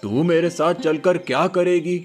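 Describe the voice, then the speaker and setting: A man's voice: on the high side, unhurried, lightly acted. A man voicing a patient, fatherly old cowherd grandfather in a cartoon story. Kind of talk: Hindi cartoon moral story dialogue. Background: music.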